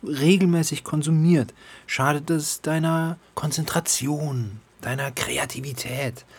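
A man playing an irritable, defensive father and recovering addict in a naturalistic radio play, with a halting, lecturing manner that can flare up. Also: fairly high pitch, fairly even intonation, breathy edge. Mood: angry, exasperated. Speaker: radio drama actor.

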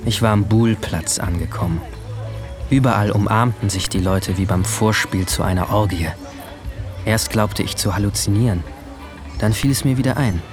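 A man's voice: fairly low pitch, moderate pace, lightly acted. An actor narrating as a withdrawn, brooding 17-year-old boy in a radio drama, subdued and introspective. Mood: detached, bitter. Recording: music behind the voice.

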